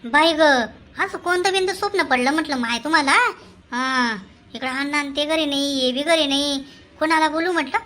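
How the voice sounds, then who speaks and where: Woman doing a high-pitched, teasing Khandeshi neighbour. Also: moderate pace, pushed and bright. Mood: teasing, curious. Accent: Khandeshi Marathi. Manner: exclamatory, gossipy, rural colloquial. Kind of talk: comic cartoon voice acting.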